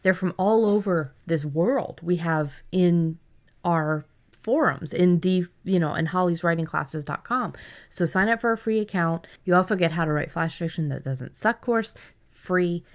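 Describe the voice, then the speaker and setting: Woman in her thirties, low voice, podcast co-host, effusive, emphatic, chatty. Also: a little slow. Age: 30s. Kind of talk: casual podcast chat.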